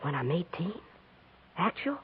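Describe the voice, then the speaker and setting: An old, narrow-band radio western recording carries an American farm boy on the run. He speaks in a husky voice, with respectful, halting country phrasing and an eager sincerity. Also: on the high side, big pitch swings, exaggerated voice. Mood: earnest, eager. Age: child or teenager. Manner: folksy rustic grammar, respectful, halting. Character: earnest runaway farm boy of thirteen, polite, eager. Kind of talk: 1950s radio western acting.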